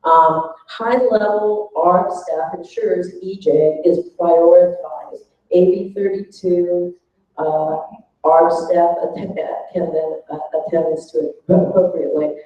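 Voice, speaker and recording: A woman presenting her group's notes at a workshop, speaking haltingly as the group's spokesperson. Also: fairly low pitch, fairly even intonation. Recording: dull, very echoey room, distant mic.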